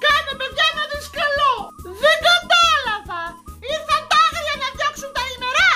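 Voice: very high voice, pushed and bright, faint music behind, full character voice. A performer voicing a nagging, melodramatic Greek mother in a comic recital, exasperated and full of theatrical guilt-tripping. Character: Voulitsa, shrill melodramatic scolding Greek mother character. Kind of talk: comic recital of stock parental catchphrases.

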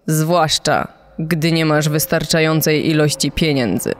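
A man's voice: fairly high pitch, measured pace, a little hiss or hum. A man playing a grieving, resigned relative of an executed boy, giving plaintive testimony in a crime documentary. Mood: sad, resigned. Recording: long reverb.

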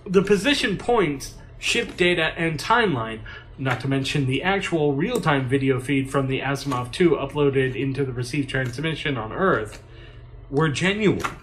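A man's voice: medium pitch, expressive, normal speed, fairly bright tone, a little hiss or hum, natural voice, low-quality recording. A man playing an earnest space agency chief in an audio drama, giving a formal, precise briefing. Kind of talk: science fiction audio drama acting.

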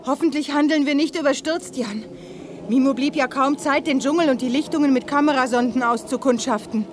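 Young female adventurer, played by a woman for sci-fi radio drama: high voice, uneasy, spirited, faint noise behind. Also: fairly quick, natural voice. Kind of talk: German 1980s sci-fi radio play acting.

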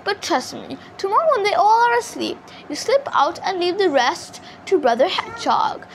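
A bold little hedgehog from a storybook, voiced by a woman reader talks in a very high, lively, swinging pitch. It is friendly, cheeky and sure of itself, with faint noise behind. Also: moderate pace, full character voice. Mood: encouraging. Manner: pleasant, chuckling, bold.

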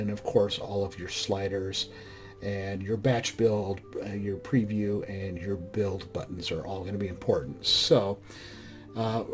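Hoarse man giving a software walkthrough. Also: on the low side. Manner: loose, conversational, with frequent "uh" and restarts. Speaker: mod review channel host. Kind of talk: screen-recorded software tutorial.

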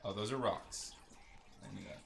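A man with a creaky voice giving casual, joking video game commentary, talking to himself as he plays. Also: on the low side, normal speed, slight background noise.

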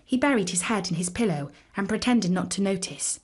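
A woman narrating a children's story in an expressive storytelling style. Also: mid-range voice, brisk, slightly rough.

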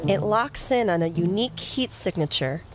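Woman playing sharp playful investigator, mid-pitched, wry, matter-of-fact, audio drama. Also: animated, normal speed, over music, close to an everyday voice, low-quality recording.

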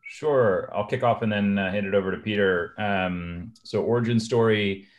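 A man in his thirties from Europe, a guest on a video call from a small home office, talking in a measured, candid way. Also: on the low side, highs cut off. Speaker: venture capital guest. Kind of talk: casual video call interview.

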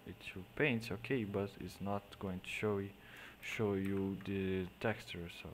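A man with a Portuguese accent, a native Portuguese speaker, explaining digital painting step by step in halting English, often trailing off into quiet mutters as he works. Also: low-pitched, fairly even intonation, slight background noise. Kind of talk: screen-recorded painting tutorial.

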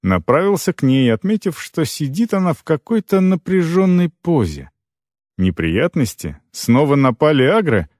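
A man narrating scripted first-person fiction as an audiobook reader, expressive, with deliberate phrase breaks. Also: a little high, no echo, clean studio sound.

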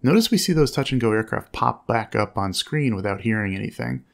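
A man giving measured, instructive commentary on air traffic audio as a narrator. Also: on the low side, expressive, gravelly.

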